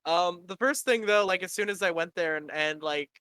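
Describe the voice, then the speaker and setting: A man's voice: pushed and bright, clean tone, no echo. A man in his twenties from Canada, hosting a casual chat and rambling with swearing and laughs. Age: 20s. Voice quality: high-pitched for a man. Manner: rambling and profane, quick to laugh. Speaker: podcast host.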